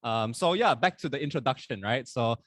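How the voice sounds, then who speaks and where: Relaxed, chatty young man co-hosting. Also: medium pitch, brisk, dry recording, studio quality. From not from Hong Kong. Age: 20s. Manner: relaxed, chatty, self-correcting. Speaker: podcast co-host. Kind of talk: casual chat at a live event. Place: live university event.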